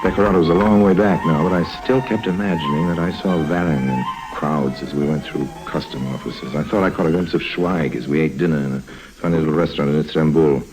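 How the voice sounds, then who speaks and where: An actor playing a roguish, smooth-talking con man on the run in 1950s radio drama, with a rich voice and a wry, urbane manner. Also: quick pace, over music, poor audio. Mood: wry narration. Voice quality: deep, rich voice. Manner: wry, urbane, dryly ironic. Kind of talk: 1950s radio drama acting with first-person narration. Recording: old 1950s radio recording.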